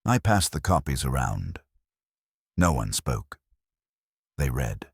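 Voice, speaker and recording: A man narrating a scripted detective story as an audiobook, in a measured, theatrical noir manner. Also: a bass voice, sing-song, creaky, slightly rough, no echo, clean studio sound.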